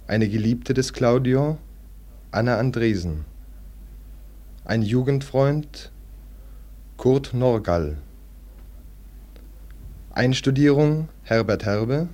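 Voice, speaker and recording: A man, formally announcing cast credits for a radio play in a measured way. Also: mid-range voice, mellow.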